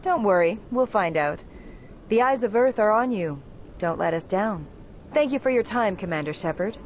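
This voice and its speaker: A woman's voice: mid-range voice, lively intonation, moderate pace, a little hiss or hum, close to an everyday voice. A woman playing a polished, needling TV news reporter in a video game. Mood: pointed.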